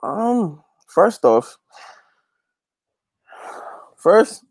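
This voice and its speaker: A man's voice: high voice, very animated, drawn-out words, creaky, darkish tone, breathy edge, no room sound. A man in a casual interview, speaking as a guest musician and repeating phrases emphatically as he builds a point. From Guyana. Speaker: interview guest, gospel reggae artist.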